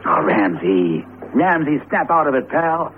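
An actor playing a loyal, plain-spoken best friend in a 1970s radio drama, talking in a colloquial, chummy way. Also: male voice, medium pitch, big pitch swings, measured pace. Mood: coaxing. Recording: narrow-band, faintly noisy old radio broadcast.

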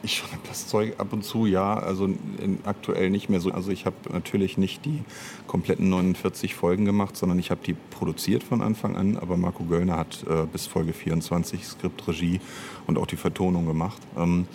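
A man's voice: low-pitched, flat delivery, fairly quick, vocal fry, darkish tone, a little hiss or hum. A man answering as an interview guest in a casual, reflective, explanatory way. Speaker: interview guest, audio drama writer and producer.

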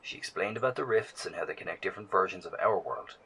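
Man acting an earnest, husky, low-voiced survivor. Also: pushed and bright, exaggerated voice, low-quality recording. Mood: solemn. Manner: halting, formal wording, emphatic. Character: earnest, shaken male survivor and researcher, awed and cautious. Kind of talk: audio drama dialogue.

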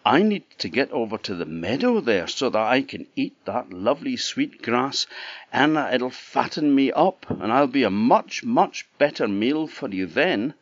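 A man's voice: medium pitch, sing-song, measured pace, no echo, highs cut off, voice pushed up. A man voicing a timid but wily middle-sized billy goat in a storybook tale, pleading and persuasive. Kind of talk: storybook character voice.

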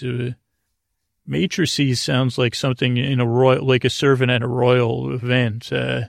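Male sleep podcast host: gravelly, creaky, meandering and halting, whimsical, recorded close and dry. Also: medium pitch, no room sound. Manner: meandering, halting, stammering, repeating himself; soothing, whimsical, absent-minded. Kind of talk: rambling bedtime monologue meant to lull listeners to sleep.

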